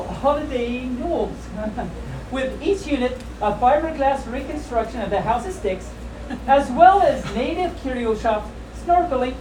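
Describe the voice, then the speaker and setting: A woman hosting a live storytime, reading to an audience with playful, jokey, chatty asides. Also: sits high. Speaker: live storytime host at a fan convention. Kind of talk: reading a comic children's story aloud to an audience, with casual asides. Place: indoor convention room with audience. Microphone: distant camera filming a live event. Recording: distant echoey room recording with background noise.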